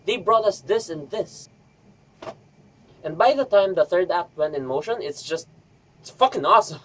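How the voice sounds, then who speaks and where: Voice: medium pitch, lively intonation, moderate pace, a bit bright, a little hiss or hum, low-quality recording. A vlogger giving an enthusiastic, scripted review in a chatty, emphatic way with rhetorical asides.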